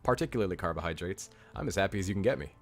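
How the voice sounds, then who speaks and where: A man with a deep voice hosting a live stream, reading comments and giving blunt, direct relationship advice. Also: animated, very fast, faint music behind. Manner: blunt, direct advice, repeats key points.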